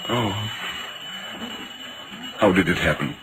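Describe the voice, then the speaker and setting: Man acting a deep, grave old-radio reporter. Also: lively intonation, takes it slowly, very noisy. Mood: agitated. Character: shaken, solemn male Victorian newspaper reporter telling a tragic tale. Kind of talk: old-time radio horror drama acting.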